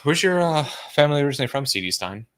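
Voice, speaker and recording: A man's voice: fairly high pitch, very animated, very fast, clean tone. A man co-hosting a movie watch party with casual, slangy joking banter and some swearing.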